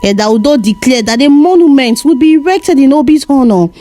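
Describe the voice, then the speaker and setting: High-voiced woman narrating a solemn folktale. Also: expressive, fairly quick, mellow, faint music behind. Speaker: storyteller, narrator.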